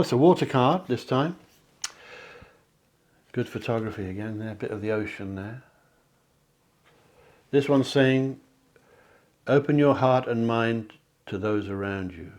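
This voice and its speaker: A man, a psychic hosting a card reading, talking in a chatty, encouraging way that often corrects itself, his voice a little hoarse. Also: fairly low pitch, a little mellow. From England. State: slightly hoarse, clearing throat. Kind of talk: tarot-style card reading to camera. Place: home near the sea.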